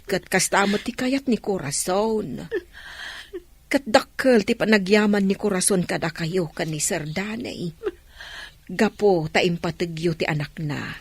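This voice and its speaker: A woman's voice: lowish voice, slightly rough, dry recording, heavily acted. A woman acting a kindly, consoling older household nanny in an Ilocano radio drama, with a Filipino Ilocano accent.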